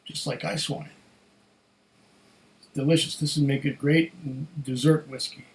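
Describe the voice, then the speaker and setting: A man with a slightly hoarse voice giving a casual solo tasting review, musing in an unhurried, savoring way and talking to himself. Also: a little high, fairly even intonation, low-quality recording. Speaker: whisky reviewer.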